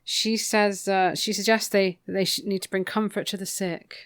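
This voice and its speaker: A woman's voice: mid-range voice, little pitch movement, moderate pace, dry recording, studio quality. A woman co-hosting a podcast, chatting casually through a recap with wry, opinionated asides. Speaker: podcast co-host. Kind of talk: casual book recap chat.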